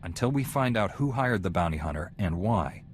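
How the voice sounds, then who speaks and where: A man playing a calm, earnest Jedi Knight in a video game, speaking in a measured, formal way. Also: low voice, a little hiss or hum. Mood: serious. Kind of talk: video game dialogue acting.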